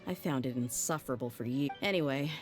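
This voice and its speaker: A tough young female mercenary with a low voice and a frank, easygoing game-dialogue delivery. She talks casually and bluntly, with faint music underneath. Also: fast talker. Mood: serious, frank. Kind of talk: video game dialogue acting.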